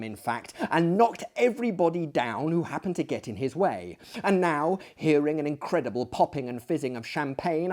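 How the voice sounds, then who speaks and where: Hammy man reading theatrically, mellow voice. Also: a little high. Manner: hammy, pompous, dramatic emphasis. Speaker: storyteller, host of a story reading series. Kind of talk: theatrical dramatic reading of a classic story.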